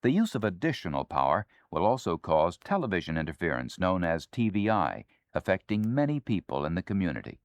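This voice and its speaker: American guy narrating a 70s how-to record, low voice, formal and authoritative, dry sound. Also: sing-song, fairly quick, few pauses, no echo. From United States. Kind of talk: scripted instructional narration. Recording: dry 1970s spoken-word LP.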